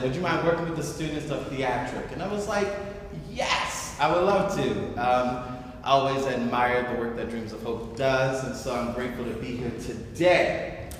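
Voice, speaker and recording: A man hosting a stage event, speaking to an audience with an enthusiastic, theatrical delivery. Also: mid-range voice. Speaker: host. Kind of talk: addressing a theater audience, then reading narration. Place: large reverberant indoor venue. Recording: echoey hall, distant, faint noise.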